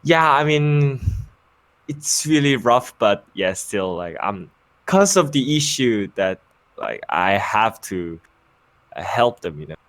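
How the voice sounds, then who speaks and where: A young man from Busan who speaks English with a Korean accent, a former pro player and caster chatting casually in an interview, halting with many fillers and restarts. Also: mid-range voice, sing-song, a bit bright, no echo. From Busan, South Korea. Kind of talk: casual interview over a call.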